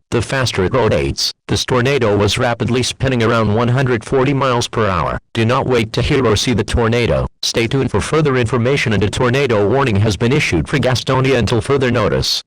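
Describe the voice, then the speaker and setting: Formal text to speech guy reading emergency alert stuff, medium pitch, super dry audio. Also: dry recording, low-quality recording. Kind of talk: scripted alert narration.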